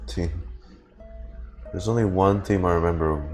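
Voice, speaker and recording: A man's voice: low-pitched, slow pace, a little mellow. A man giving casual, laid-back livestream commentary as he reacts to a video game, musing aloud. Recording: game music behind the voice.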